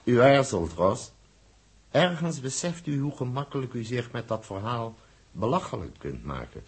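An actor playing an evasive, condescending doctor in a 1960s Dutch radio drama, speaking formally and with measured pomp. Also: male voice, fairly low pitch, breathy edge, full character voice.

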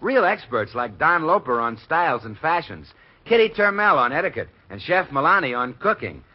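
A male radio announcer with a fairly high voice, reading a scripted promotion in 1950s style. The old broadcast sounds muffled. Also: very animated, moderate pace.

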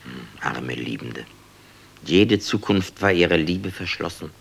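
A mysterious storyteller in a radio drama. His voice is extremely low, full of gravelly creak and husky. He muses in a measured, confiding way. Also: expressive, fairly bright tone, full character voice. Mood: musing.

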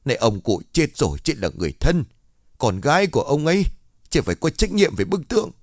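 A man voicing a sharp-tongued old villager who scolds and accuses. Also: fairly high pitch, big pitch swings, normal speed, no echo, studio quality, pitched above the performer's own voice. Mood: scolding.

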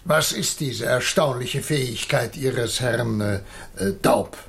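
An actor playing an imperious, sceptical president with a gravelly, halting, condescending delivery in a 1960s radio play. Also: male voice, mid-range voice, a bit bright. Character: sceptical, imperious male president, slow and dignified.

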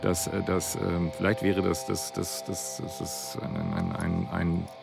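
Deep, gravelly man thinking aloud haltingly. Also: flat delivery. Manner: halting, searching, repeats words while thinking. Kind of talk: spontaneous reflective discussion. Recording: music playing clearly underneath.

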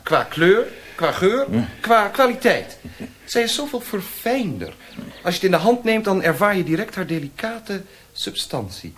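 An actor in a radio drama playing a refined, fussy French colonial lieutenant with an effusive, precious manner. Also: male voice, sits high, sing-song, moderate pace, a bit bright, a little hiss or hum. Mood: rapturous, insistent.

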